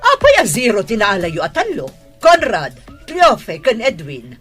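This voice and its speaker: A woman playing a strict, scolding high school teacher in a radio drama, husky and emphatic as she lectures. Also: huge pitch swings, measured pace, a bit bright, soft background music. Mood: stern scolding.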